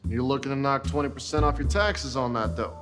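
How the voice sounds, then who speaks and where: A man playing a shady tax schemer who speaks in a slow, cocky drawl. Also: mid-range voice, in a large hall, music in the background, close to an everyday voice. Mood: sly. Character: shady male tax schemer, smooth-talking and sly.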